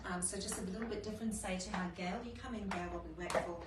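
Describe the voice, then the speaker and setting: A woman's voice: on the low side, fairly even intonation, fast. A woman with a New Zealand accent hosting a live fashion shopping stream, chatty and gushing with many ums. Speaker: fashion brand host. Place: fashion studio. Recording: echoey room, far from mic, noisy.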